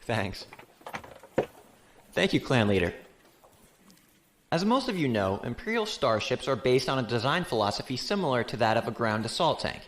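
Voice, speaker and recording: Starship captain, male actor: experienced, down-to-earth man, animated delivery, briefing tone, space drama. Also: mid-range voice, normal speed, long pauses, natural voice. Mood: matter-of-fact. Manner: informal, explanatory. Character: plain-spoken, seasoned male starship captain. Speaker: ship captain and former fleet officer. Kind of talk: science fiction audio drama.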